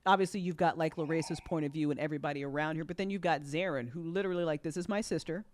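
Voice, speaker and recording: A woman's voice: deep voice, rapid-fire, a little hiss or hum. A woman in a casual, opinionated fan discussion, talking bluntly and emphatically in slangy, loose phrases. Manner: emphatic, blunt, sweary, punctuated with "like".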